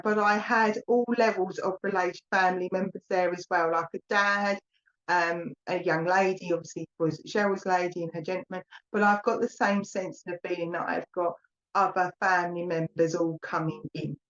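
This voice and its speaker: A woman's voice: lowish voice, steady tone, moderate pace, a bit bright. Chatty Essex woman medium, lo-fi sound. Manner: chatty and rambling, calls viewers "my lovely". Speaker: psychic medium. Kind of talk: live online mediumship reading.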